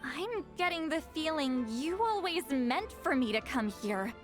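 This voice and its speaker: A centuries-old shaman who still sounds youthful. Her voice is high, proud and prickly. She speaks with insistent, dry sarcasm in a video game style, over light music. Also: animated, a little slow. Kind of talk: video game character acting.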